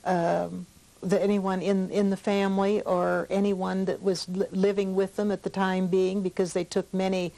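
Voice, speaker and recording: A woman's voice: mid-range voice, level tone, normal speed, creaky, warm tone, rough recording. A woman giving an informal interview recollection, explaining and correcting herself as she goes. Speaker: documentary interviewee.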